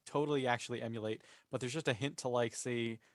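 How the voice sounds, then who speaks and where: A man's voice: medium pitch, fairly even intonation, fast talker, no echo, studio quality. A man hosting a casual panel chat, talking through his points analytically with many asides. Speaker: podcast host. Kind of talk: casual panel discussion of a TV series.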